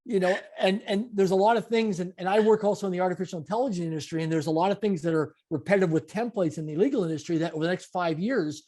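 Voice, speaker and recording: Upbeat high-voiced man talking mindset. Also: fast, few pauses, darkish tone. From Canada. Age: 40s. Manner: emphatic, repetitive motivational phrasing. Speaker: guest. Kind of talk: conversational interview.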